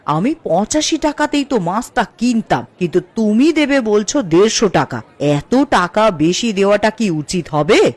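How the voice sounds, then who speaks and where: A woman's voice: mid-range voice, animated, moderate pace, a little mellow, lightly acted. A woman playing an assertive, sensible housewife in a Bengali cartoon, speaking firmly. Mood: firm, reasoning.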